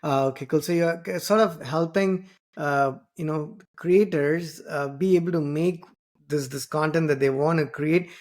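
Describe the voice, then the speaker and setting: Host role: man from southern India, Tamil first language, chatty interviewer who restarts often, slightly high voice. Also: moderate pace, a little mellow. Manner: rambling, many fillers and restarts. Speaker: podcast host. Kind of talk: conversational interview.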